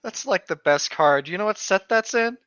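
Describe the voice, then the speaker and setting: Dull lo-fi track, man, animated pitch, slangy swearing, flippant joking asides, casual co-host. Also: normal speed, clean tone, dry recording. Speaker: podcast co-host.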